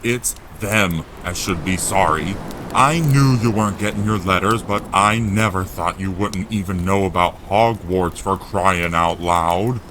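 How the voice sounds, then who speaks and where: A man voicing a huge, blustering, protective giant in an audio drama, growling and booming in rough rustic speech. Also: a little slow, background noise. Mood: gruff, affronted.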